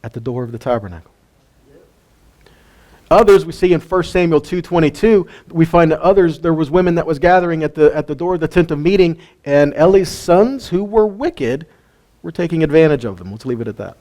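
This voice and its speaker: Animated man teaching Bible lessons. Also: a little high, fairly quick. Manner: animated, asks rhetorical questions, jokes with listeners. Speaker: teacher of a weekly Torah portion.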